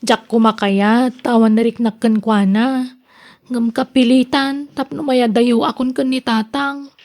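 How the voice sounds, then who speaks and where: Woman acting meek sorrowful young daughter, high voice. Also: steady tone, unhurried, darkish tone, natural voice. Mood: reluctant, resigned. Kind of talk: radio drama acting.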